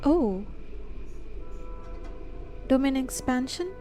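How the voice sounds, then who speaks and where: A woman's voice: sits high, animated, drawn-out words, mellow. A woman giving casual reactions while livestreaming a game. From India. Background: faint game music.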